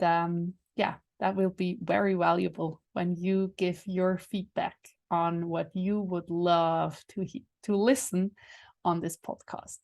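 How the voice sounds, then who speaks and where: A woman whose first language is Swiss German, hosting her own podcast in English and making a casual announcement. She pauses to search for words, with fillers and restarts. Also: fairly high pitch. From Switzerland. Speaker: podcast host.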